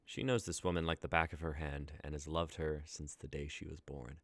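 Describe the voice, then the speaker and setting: A man's voice: very deep voice, level tone, a little fast, a bit bright, dry recording, clean studio sound. A young man reading scripted story narration and stage directions as the narrator of a dramatic reading. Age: young adult.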